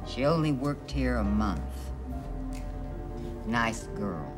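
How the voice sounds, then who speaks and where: An actress playing a weary older diner owner who talks in a slow, gossipy way. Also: female voice, rumbling low, lively intonation, over music, full character voice.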